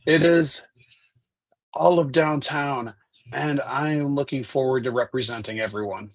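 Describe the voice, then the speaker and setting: Fifties male candidate, husky and stuffy, higher voice, dry video-call sound. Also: dry recording, rough recording. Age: 50s. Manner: chatty, restarts and repeats words. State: stuffy from allergies. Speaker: state senate candidate. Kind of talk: virtual town hall answers.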